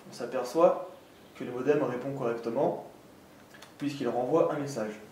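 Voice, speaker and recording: A man's voice: medium pitch, moderate pace, darkish tone. A man presenting a technical tutorial step by step in a methodical, instructional manner. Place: indoor room with desk and green backdrop. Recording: echoey room, distant mic, faint noise.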